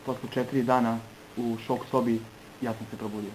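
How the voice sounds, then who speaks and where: A man from Serbia giving an earnest personal testimony in short phrases, pausing between them for an interpreter. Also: mid-range voice, level tone, a little hiss or hum, low-quality recording.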